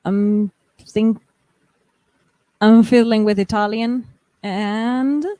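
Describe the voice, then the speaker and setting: A woman's voice: on the high side, fairly even intonation, drawn-out words, mellow, dry recording. A young woman from the United States hosting a live stream, chatting casually and reading viewer comments aloud, often laughing and restarting her sentences.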